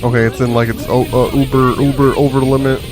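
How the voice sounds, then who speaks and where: A man's voice: medium pitch, flat delivery, fast talker. A man live-streaming a game, giving casual slangy commentary and reacting aloud as he plays. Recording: game music behind. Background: video game music and sound.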